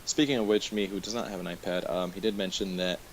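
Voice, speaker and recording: Low-voiced man on a hissy webcast. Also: steady tone, quick pace. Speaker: webcast host. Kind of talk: casual news rundown from notes. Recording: hissy, noisy online webcast audio.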